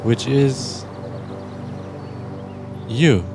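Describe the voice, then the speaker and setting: Male meditation guide: unhurried, gentle and deliberate, leaving long pauses, set over prominent music. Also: medium pitch.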